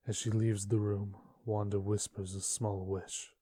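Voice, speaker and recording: A man narrating a dark comic dub as a sombre storyteller, in a subdued, measured manner. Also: fairly low pitch, flat delivery, husky, dry recording.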